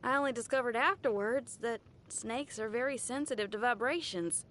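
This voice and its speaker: A woman's voice: a little high, normal speed, a bit bright, natural voice. A woman playing a brash, cheerful young female rock musician in video game voice lines, chatting in a folksy, playful way. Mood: cheerful, confiding. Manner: chatty, folksy, colloquial with tag phrases.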